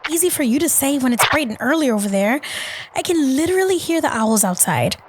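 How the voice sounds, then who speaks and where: Slightly high female voice, actress as fed-up young girlfriend, weary, curt, audio drama phone call, faint noise. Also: animated, fairly quick. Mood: annoyed, accusing. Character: exasperated, resentful young woman, long-distance girlfriend. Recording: noisy phone-call style recording.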